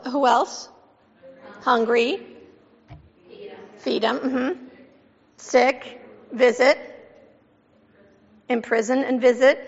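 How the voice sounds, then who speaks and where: A woman pastor reading scripture and giving a sermon, measured and emphatic, asking the congregation questions. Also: high voice. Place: church sanctuary. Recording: dull sound with long church reverb.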